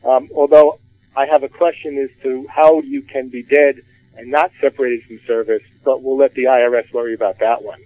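A man, a retirement plan expert, explaining rules in a measured way on a radio finance discussion. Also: medium pitch, little pitch movement, dry recording. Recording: phone-like narrow band.

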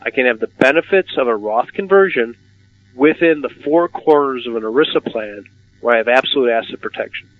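A man's voice: mid-range voice, lively intonation, fairly quick, dry recording. A man hosting a radio finance discussion, asking questions and often repeating words as he starts. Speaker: radio host. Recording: dull lo-fi radio sound.

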